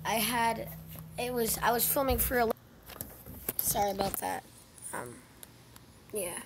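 High creaky-voiced young woman gamer. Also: measured pace. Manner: muttering to self. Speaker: gamer recording gameplay. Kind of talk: casual gameplay commentary. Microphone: phone screen recorder microphone. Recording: faint background noise, phone recording.

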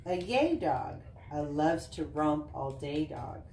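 A woman reads a children's picture book at an unhurried, slow pace. Her delivery is expressive and singsong, with chatty asides to young listeners. The sound is roomy and somewhat distant, as from a camera in a living room. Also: low-pitched, slight background noise. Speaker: volunteer reading aloud to children. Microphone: camera a short distance away.

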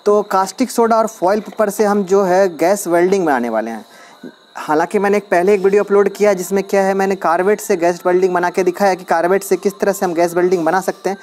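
Man, casual demo vlogger, step-by-step explaining, slightly high pitch, outdoors, phone camera. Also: fast talker, a little mellow. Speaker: DIY experiment vlogger. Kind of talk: casual hands-on demonstration. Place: outdoors on a concrete floor, at dusk.

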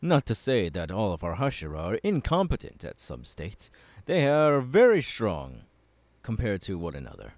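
A man playing a confident, fiery anime swordsman mentor in an ASMR audio roleplay, talking to the listener with measured, dramatic pauses. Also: fairly low pitch, wildly swooping pitch, a little mellow, dry recording. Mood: welcoming, instructive. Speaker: voice actor.

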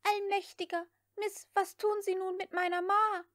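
A childish young servant girl from an audiobook, as a woman narrator puts her on. She is excitable and easily panicked, with a high, wailing voice. The sound is dry. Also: measured pace, no echo.

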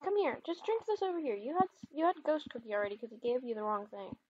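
A woman's voice: high voice, big pitch swings, quick pace, darkish tone, highs cut off, slight background noise. A woman chatting casually as she role-plays through a video game as the host. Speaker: gaming video host, mother playing with her daughter. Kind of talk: casual role-play chat over gameplay.

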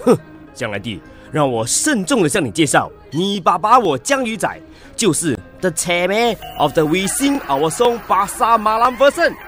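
Animated Singaporean hawker dad, boastful. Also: male voice, high voice, normal speed. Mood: boastful, excited. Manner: animated, exclamatory, mixing in English. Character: boastful, doting male night-market hawker dad. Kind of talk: comedic radio drama acting. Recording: radio drama with music bed.

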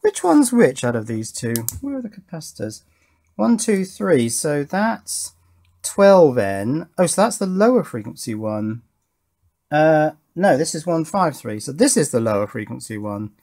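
A man's voice: a little high, very animated, measured pace, darkish tone. A middle-aged man informally narrating an electronics test at his workbench, thinking aloud in a self-deprecating way. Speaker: electronics hobbyist presenter.

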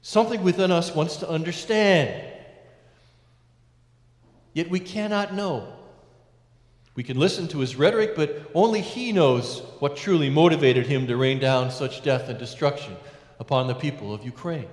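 A man's voice: fairly high pitch, lively intonation. Preaching man in an echoey church. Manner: measured preaching with emphatic rises and hushed endings. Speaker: priest. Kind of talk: sermon. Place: large church, at a lectern. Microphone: lectern mic, far camera. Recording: echoey church with long reverb.

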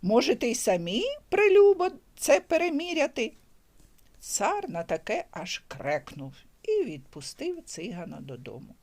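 Grandmother telling an animated bedtime tale. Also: medium pitch. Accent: Ukrainian. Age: older. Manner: tender, lively, sing-song storytelling. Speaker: grandmother storyteller. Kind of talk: bedtime fairy tale reading.

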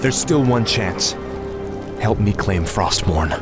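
An actor playing a proud, vengeful young warrior prince in a fantasy game, commanding and intense. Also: male voice, on the low side, expressive, takes it slowly. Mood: grim determination. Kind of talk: fantasy video game cutscene acting. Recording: loud game music behind the voice.

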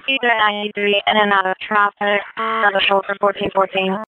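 A woman's voice: medium pitch, little pitch movement, brisk, phone-quality sound. A woman working as a police radio dispatcher, repeating reports in clipped, procedural phrases over a scanner radio. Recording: noisy police scanner radio audio with clicks.

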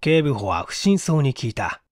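A man's voice: medium pitch, sing-song, normal speed, no room sound, studio quality. A man reading a mystery story as dramatic, suspenseful, theatrical audiobook narration. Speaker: audiobook reader.

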